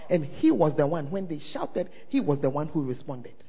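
A man with a Ghanaian English accent preaching a lively sermon as pastor, often calling out to the congregation to check that they are listening. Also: a little high, normal speed, breathy edge. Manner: call-and-response, often asks the crowd "are you there?". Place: church hall. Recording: dull, echoing church hall recording.

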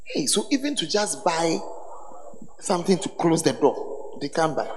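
Hoarse man, bishop preaching, high and lively voice, long echo of a hall, faint ambience. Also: normal speed, a little hiss or hum. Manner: call-and-response with congregation, teasing. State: hoarse, voice tired. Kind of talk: sermon.

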